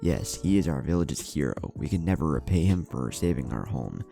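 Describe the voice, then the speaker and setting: A man voicing a weary, kindly old village chief in a storybook narration, hesitant and measured, over music. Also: very deep voice, very animated, gravelly, warm tone, exaggerated voice. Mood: plain, grateful. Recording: music under the voice.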